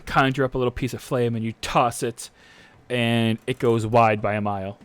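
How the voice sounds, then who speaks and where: A man's voice: mid-range voice, fairly even intonation, moderate pace, fairly bright tone, smooth. A man speaking casually and a little hesitantly as game master of a tabletop role-playing game.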